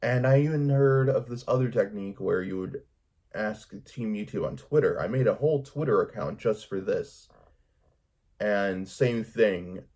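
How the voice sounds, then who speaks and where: A man with a gravelly, husky voice talking casually and haltingly in a vlog monologue, as a YouTuber would. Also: lowish voice, dark tone.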